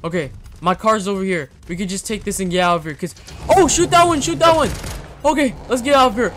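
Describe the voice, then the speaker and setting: A young man acting as an excitable zombie apocalypse survivor in an amateur game roleplay. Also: high-pitched, quick pace, slight background noise. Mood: panicked, urgent. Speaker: lead player in a game roleplay video.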